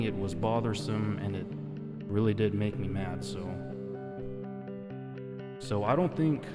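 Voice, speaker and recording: A man chatting casually as the host of an ASMR roleplay livestream, his voice in a long reverb. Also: lowish voice. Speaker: streamer hosting an ASMR roleplay spa. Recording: long reverb, music behind the voice.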